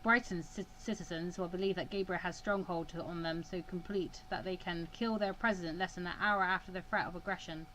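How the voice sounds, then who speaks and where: A woman voicing a cold, self-assured male mediator in measured, formal visual novel dialogue. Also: lowish voice, steady tone, a bit bright, a little hiss or hum, close to an everyday voice, poor audio. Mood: calculating, certain.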